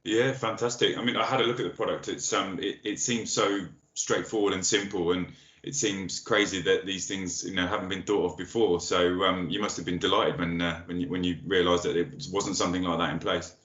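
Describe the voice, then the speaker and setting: Deep, bright-toned male podcast host. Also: steady tone, echoey room, highs cut off. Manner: friendly, quick when asking questions, hesitant fillers. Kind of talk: podcast interview.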